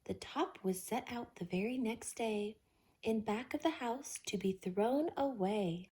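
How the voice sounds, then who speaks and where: A woman reading a children's storybook aloud as host, with an expressive, theatrical, rhythmic delivery. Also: a little high, unhurried, slightly rough.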